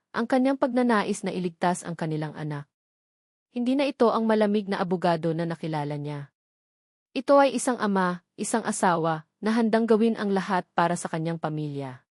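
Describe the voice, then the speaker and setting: A woman's voice: mid-range voice, expressive, a little fast, a little mellow, no echo, clean studio sound. A woman reading scripted, dramatic narration of a love story as its storyteller.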